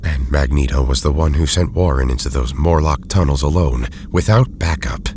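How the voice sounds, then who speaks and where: An actor playing an erudite, weary but loyal scientist in an audio drama, speaking in formal, deliberate phrases. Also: male voice, a bass voice, darkish tone, slight background noise. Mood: grave.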